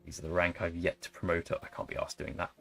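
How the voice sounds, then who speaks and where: A young man chats loosely while playing a strategy game on stream. His voice sits a little low and he rambles between jokes. Soft guitar music plays faintly underneath. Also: flat delivery, quick pace, gravelly. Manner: jokey, rambling, tries silly accents. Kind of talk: casual live-stream chat over gameplay.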